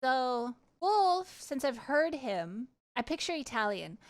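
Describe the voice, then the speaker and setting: A woman livestreamer casually chatting with her viewers and telling personal stories in an animated, candid, giggly way, swearing freely. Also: high voice, takes it slowly. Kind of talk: casual chat and personal storytelling.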